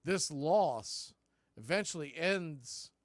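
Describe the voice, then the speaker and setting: A man's voice: fairly high pitch, animated, takes it slowly, no room sound. A man doing radio baseball play-by-play as the announcer, speaking in short, clipped phrases.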